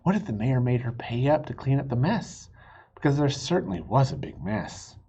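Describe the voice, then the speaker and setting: A man reading a children's book aloud in an expressive, animated way, pausing to explain things to young listeners. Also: mid-range voice, breathy edge, lo-fi sound. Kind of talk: expressive storybook read-aloud with asides.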